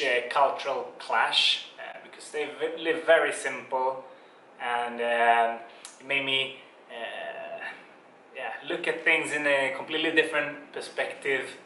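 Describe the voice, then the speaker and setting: Relaxed Swedish man in his twenties. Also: medium pitch, roomy sound, a little hiss or hum, low-quality recording. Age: 20s. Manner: relaxed, dry teasing humor, hesitant fillers. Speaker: travel vlogger. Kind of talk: casual travel vlog chat. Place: holiday apartment in Bali.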